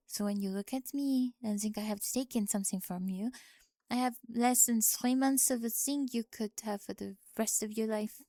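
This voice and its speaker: A woman's voice: a little high, normal speed, few pauses, warm tone, dry recording, lightly acted. A woman playing a bold young French exchange student in an ASMR roleplay, speaking with a French accent in precise, earnest phrases. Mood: earnest, frank.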